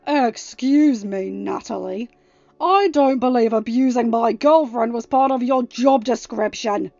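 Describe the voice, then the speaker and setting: A woman voicing an angry, protective young king with a forceful, growling, emphatic delivery in an audio story. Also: a little high, unhurried, no room sound, lo-fi sound. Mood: growling anger. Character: angry protective teenage king, stern boyfriend.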